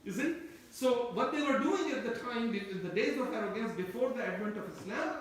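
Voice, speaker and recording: High-voiced man lecturing in an echoey room. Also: expressive, a little fast, slight background noise. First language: Turkish. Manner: discursive, repeats and restarts phrases. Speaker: Quran teacher. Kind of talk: tafseer lecture. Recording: echoey room, mic at a distance.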